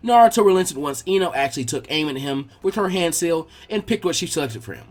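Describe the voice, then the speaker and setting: A man's voice: fairly high pitch, animated, fast. A man reading fan fiction aloud as a scripted story narration.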